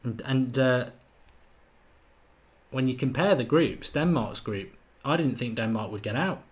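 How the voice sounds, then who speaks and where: A man's voice: mid-range voice, measured pace. A man with a slight Irish accent hosting a live football watchalong, chatting and thinking aloud while he reads out viewer comments. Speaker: host of a live match watchalong.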